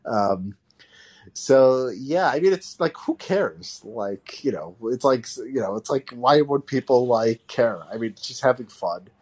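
A man's voice: mid-range voice, animated, a little slow, a little mellow, breathy edge. A man co-hosting a podcast, rambling casually with lots of "like" and "you know". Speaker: podcast co-host. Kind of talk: casual chat.

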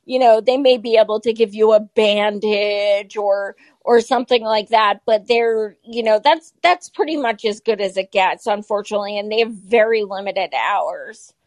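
A woman's voice: medium pitch, normal speed, hardly any pauses, dry recording. A woman organizer and journalist, explaining casually on a podcast with lots of fillers. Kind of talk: podcast conversation.

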